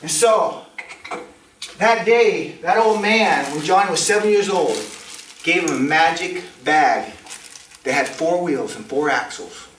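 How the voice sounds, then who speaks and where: A man telling a tall tale on stage in a theatrical way, with dramatic, deliberate pauses. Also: on the high side, a bit bright. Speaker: contestant in a speech club storytelling contest. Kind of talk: theatrical tall-tale storytelling to a live audience. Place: indoor community meeting room. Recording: distant mic, roomy echo, faint noise.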